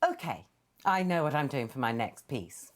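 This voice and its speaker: An actress playing a haughty middle-aged aunt in a film game, grand, precise and exasperated. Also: female voice, rumbling low, big pitch swings, moderate pace, heavily acted. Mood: self-important. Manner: pompous, precise, theatrical.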